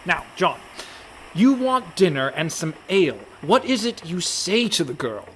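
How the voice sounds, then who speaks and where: A man's voice: high voice, sing-song, a little slow, a bit bright, a little hiss or hum, exaggerated voice. A man playing a prissy, indignant and reluctant young pirate in a comedic radio play. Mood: exasperated. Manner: fussy, exasperated.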